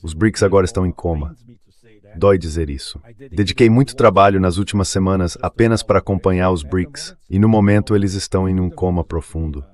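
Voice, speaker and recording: Man, Brazilian accent, low pitch, dubbed interview guest, political analysis. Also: a little fast, darkish tone.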